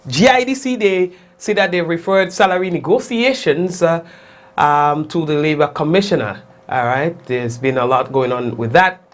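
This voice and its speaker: A man with a fairly high voice hosts a live news program in a loose, chatty way. His nose is stuffed up, so everything comes out congested. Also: moderate pace. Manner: lively, upbeat, folksy asides. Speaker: news show host. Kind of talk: live casual news chat.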